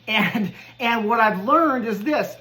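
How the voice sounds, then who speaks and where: A man's voice: very high voice, takes it slowly, bright, forceful tone, rough recording. A man in his fifties hosting a podcast, giving an earnest, conversational motivational talk and laughing at himself now and then. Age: 50s. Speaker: podcast host. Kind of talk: motivational monologue.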